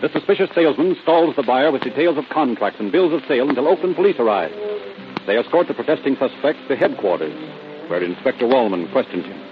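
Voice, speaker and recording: A man's voice: medium pitch, big pitch swings, fast. A man delivering dramatic scripted narration for an old-time radio drama. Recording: dull, old radio recording. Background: music.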